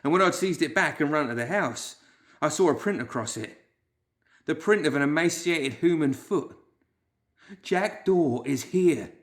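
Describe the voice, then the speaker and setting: A man putting on a jittery male clerk who whimpers his words out in a raised, strained voice, louder than the storyteller's own telling. He sounds shaken and frightened in a storybook reading. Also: on the high side, lively intonation, unhurried, voice pushed up. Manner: whimpering, wailing.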